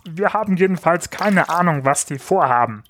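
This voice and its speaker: A man's voice: a little high, expressive, normal speed, a bit bright. A man voicing a matter-of-fact shark handler in an amateur audio drama. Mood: sober. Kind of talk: amateur audio drama re-enactment of film dialogue. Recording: noisy track with watery sounds behind.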